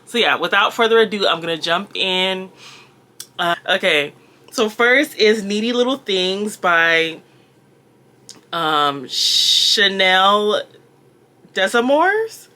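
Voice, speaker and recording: A woman's voice: mid-range voice, expressive, slow pace, pauses often, bright, forceful tone. A Jamaican-born woman with a Valley girl accent, talking casually about books as a reviewer, rambling with lots of fillers and laughs. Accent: American Valley girl. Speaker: book reviewer. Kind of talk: casual chat.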